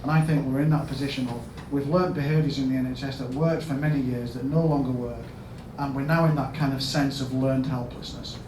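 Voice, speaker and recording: A man's voice: medium pitch, steady tone, fairly quick, hardly any pauses. Yorkshire man speaking in an echoey hall. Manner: conversational, asks the audience questions, rising to loud emphatic bursts. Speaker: NHS chief executive giving a conference talk. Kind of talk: storytelling keynote speech. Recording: echoey hall, mic at a distance, background noise.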